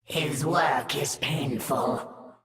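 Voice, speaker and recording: An actress playing a young, fierce alien brood mother who speaks slowly and earnestly in a game cutscene. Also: low voice, a bit bright, full character voice. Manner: slow, earnest, questioning.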